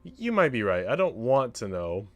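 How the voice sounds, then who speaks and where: A shy, inquisitive young woman from a visual novel, played by a man, talks tentatively and asks questions. The pitch is lively and music runs underneath. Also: moderate pace, close to an everyday voice. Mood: hesitant.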